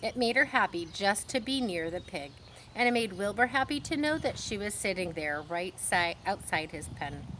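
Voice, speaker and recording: A woman with a bright, forward voice reads a story aloud for children. Her pitch sits in a middle range for a woman. She adds simple explanations as she goes, over faint background noise. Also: expressive, moderate pace, low-quality recording. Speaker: storybook reader.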